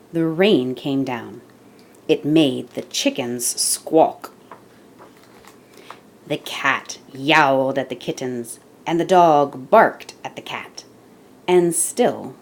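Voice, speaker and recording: Woman, children's story reader, slightly low pitch, very animated intonation, unhurried pace, expressive emphasis. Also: vocal fry, slight background noise. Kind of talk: read-aloud picture book narration.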